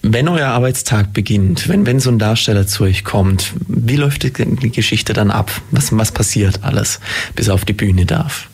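A man's voice: lowish voice, lively intonation, normal speed, vocal fry, husky. A man hosting a casual interview, asking friendly, long-winded questions with small restarts. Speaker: interviewer, host.

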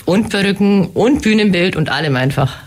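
A woman who heads a theater's women's makeup department, speaking in a casual interview while slightly hoarse from a cold and explaining her work in a matter-of-fact way. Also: medium pitch, expressive, normal speed, a little hiss or hum.

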